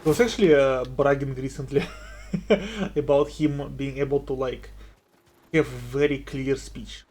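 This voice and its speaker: A man's voice: medium pitch, a little slow, darkish tone, slight background noise. A man with a non-native English accent and a light voice, chatting casually and rambling while live-streaming a game. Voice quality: light, somewhat boyish voice. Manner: chatty and rambling, many fillers and restarts.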